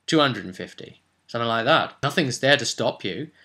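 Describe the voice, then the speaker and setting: A man's voice: medium pitch, expressive, brisk, bright, forceful tone. A man giving an informal advice monologue as a game master and host, chatty and emphatic, often putting rhetorical questions to the listener.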